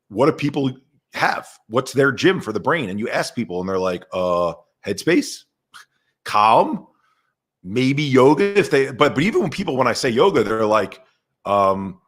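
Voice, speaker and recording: A man talking as a podcast guest in an animated, energetic way over a video call. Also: mid-range voice, breathy edge. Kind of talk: casual conversation.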